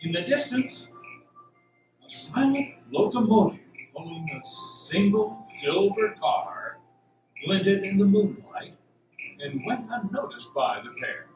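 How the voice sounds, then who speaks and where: Male radio drama narrator, higher-pitched and very animated, distant in an echoey room with faint music. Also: slow pace.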